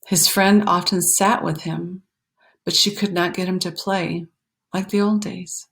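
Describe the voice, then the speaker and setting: A woman reading a children's picture book aloud in an expressive, animated way, pausing for emphasis and talking to young listeners. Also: lowish voice, creaky. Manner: animated, explanatory, pausing for emphasis and dropping to hushed phrases. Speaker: storytime reader.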